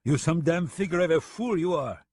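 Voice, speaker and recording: A man's voice: fairly high pitch, lively intonation, normal speed, darkish tone, breathy edge, dry recording, studio quality, voice pushed up. A man voicing a mocking, sly French gunman with a French accent in a western audiobook. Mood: taunting. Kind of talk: western audiobook character acting.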